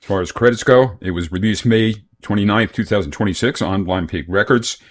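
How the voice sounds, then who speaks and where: A man's voice: fairly low pitch, fairly quick. A man hosting a radio music show, reading album notes and reviews from a script.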